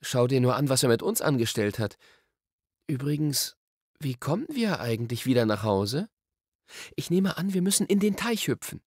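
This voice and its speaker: A man voicing an eager, curious, bossy young schoolboy in a storybook, explaining things with impatient excitement. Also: medium pitch, normal speed, slightly rough, no echo, studio quality, voice pushed up.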